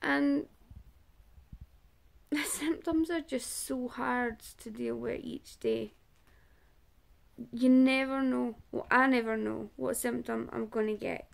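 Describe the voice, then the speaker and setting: A Scottish woman in her thirties talking to camera about her own life, halting and pausing often as she searches for words. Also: a little high, steady tone, vocal fry. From Scotland. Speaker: awareness vlogger and support group organiser. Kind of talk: personal awareness talk to camera.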